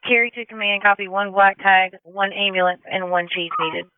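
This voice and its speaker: A woman's voice: medium pitch, fairly even intonation, normal speed, clear, resonant tone, dry recording. A woman working as an emergency dispatcher, reading back information in a businesslike way over two-way radio. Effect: two-way radio transmission. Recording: tinny telephone-like radio dispatch channel.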